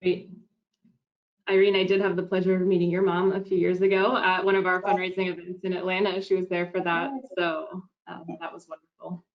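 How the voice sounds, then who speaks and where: A woman's voice: lowish voice, level tone, fairly quick. A woman on a video call, moderating a Q&A and reading out audience questions with a friendly, hesitant manner. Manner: friendly, hesitant, with many ums. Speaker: webinar moderator. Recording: dull lo-fi call audio.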